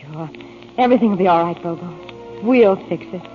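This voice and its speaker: A woman's voice: on the low side, expressive, normal speed, soft background music, natural voice. An actress playing a guarded, devoted young waterfront woman in a 1940s radio drama. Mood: tender, reassuring. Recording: muffled, noisy 1940s radio broadcast.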